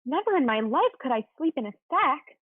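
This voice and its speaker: A woman's voice: high voice, very animated, fairly quick, no echo. A woman playing an opinionated, scornful teenage schoolgirl in a dramatic reading, on a thin, phone-like recording. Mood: incredulous. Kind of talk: dramatic reading of a period play. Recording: thin phone-like sound, very dry.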